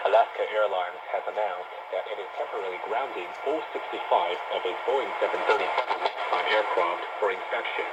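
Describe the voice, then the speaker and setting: A man reading a formal, measured radio news bulletin, heard over very noisy shortwave reception. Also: lowish voice. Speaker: news presenter. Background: loud radio static.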